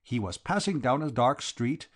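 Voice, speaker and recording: A man's voice: medium pitch, expressive, moderate pace, pitched above the performer's own voice, close to an everyday voice. Guy doing a grand old-world foreign count, flowery formal talk, very earnest, storybook style. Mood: courtly, earnest. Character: florid, courtly foreign count, solemnly devoted to his master.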